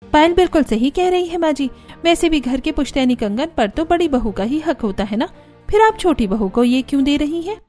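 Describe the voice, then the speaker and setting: A woman's voice: sits high, lively intonation, fairly quick, darkish tone, faint music behind. A woman voicing jealous, scheming young daughters-in-law in a cartoon, with a taunting, indignant manner.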